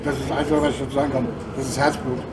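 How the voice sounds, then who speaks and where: A man's voice: medium pitch, level tone, rapid-fire. Blunt middle-aged man in an echoey showroom. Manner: blunt, enthusiastic. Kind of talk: interview. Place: dealership showroom indoors. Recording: echoey room, far from mic, loud background noise.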